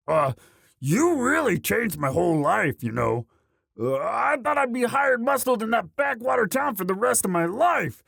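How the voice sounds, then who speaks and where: Man playing a bashful, halting orc boyfriend, lively pitch. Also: gravelly, breathy edge, no room sound, studio quality, heavily acted. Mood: bashful, sincere. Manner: halting, sheepish, stammering with many uhs. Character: bashful, devoted himbo orc boyfriend, humble muscle. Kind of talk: romantic roleplay audio monologue.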